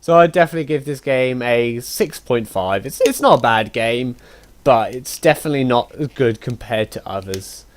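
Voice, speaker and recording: A young man giving a casual, rambling and opinionated video game review, animated and informal. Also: mid-range voice, normal speed. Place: voice-over on gameplay footage. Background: game music.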